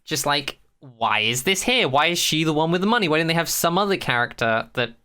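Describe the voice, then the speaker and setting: Bright, clear-voiced podcast co-host of unclear sex. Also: medium pitch, lively intonation, brisk. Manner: sweary, blunt, opinionated. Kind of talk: casual chat.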